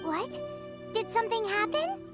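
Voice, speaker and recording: A woman voicing a small, excitable, chatty fairy girl companion with a squeaky childlike voice in a video game. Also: a little slow, loud music behind the voice, full character voice. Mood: surprised. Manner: perky, speaks of self in third person.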